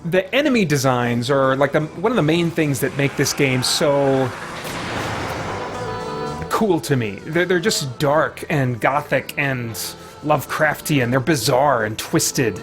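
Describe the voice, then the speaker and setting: A man's voice: mid-range voice, animated, a little slow. A man giving casual game commentary as a streamer, talking to his viewers. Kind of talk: reads game dialogue aloud. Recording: music playing behind the voice. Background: music.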